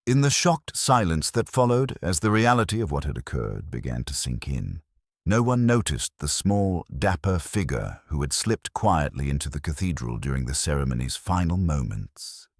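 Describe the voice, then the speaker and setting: A man reading a scripted mystery story as an audiobook narrator, in a measured, literary and dramatic storytelling manner. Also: very deep voice, big pitch swings, dry recording, studio quality.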